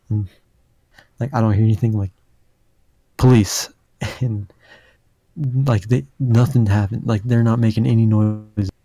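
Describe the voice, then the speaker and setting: A young man with a deep voice tells a long, rambling story, his tone warm and mellow. He talks into a dry, close mic with no room sound, and keeps dropping in casual fillers. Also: no echo. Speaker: podcast host.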